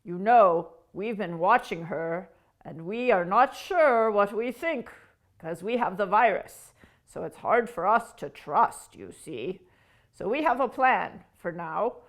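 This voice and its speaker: A woman's voice: medium pitch, expressive, slow, voice pushed down. A woman voicing a suspicious, controlling crow leader who gives orders in a scheming tone. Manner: scheming, commanding, conspiratorial.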